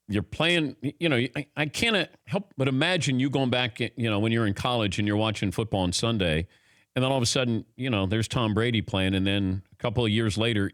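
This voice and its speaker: A man hosting a sports talk show, asking quick, playful questions in a chatty way. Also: on the low side, no room sound, studio quality. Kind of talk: casual radio interview.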